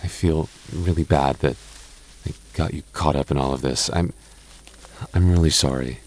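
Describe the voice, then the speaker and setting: A young man in a close-mic boyfriend roleplay, talking softly with halting restarts and light jokes. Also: extremely low pitch. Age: young adult. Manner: soft-spoken, halting, jokey and reassuring; slow measured reading of a rhyme.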